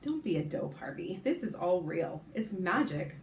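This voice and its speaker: A woman's voice: lowish voice, sing-song, moderate pace, roomy sound, slight background noise. A woman voicing a lazy, boastful young boy in a read-aloud storybook, talking offhand and dismissive.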